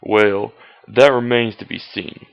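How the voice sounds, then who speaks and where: Man acting a low, gravelly police inspector. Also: steady tone. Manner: formal, deliberate, authoritative questioning. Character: formal, probing male police inspector. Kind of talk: old-fashioned radio detective drama acting. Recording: dull, lo-fi amateur radio-drama recording.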